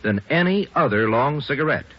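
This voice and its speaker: A man's voice: mid-range voice, expressive, fairly quick. A man announcing a 1940s radio commercial in an emphatic sales style. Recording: muffled 1940s radio recording.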